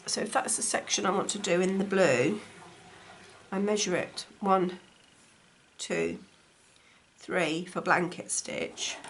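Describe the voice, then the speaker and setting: A woman with a croaky voice from a cold, chatting casually as she demonstrates stitching at home. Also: on the low side, slow pace. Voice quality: slightly gravelly. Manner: chatty, talks while stitching. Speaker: textile crafts teacher. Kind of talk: casual craft tutorial. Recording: faint appliance hum behind. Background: dishwasher running.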